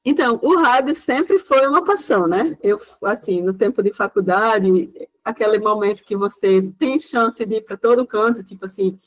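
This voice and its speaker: A woman's voice: mid-range voice, moderate pace, rough recording. A woman giving an informal, chatty talk to a class as a guest lecturer, often using small conversational fillers. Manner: chatty, loose, leans on fillers like "né".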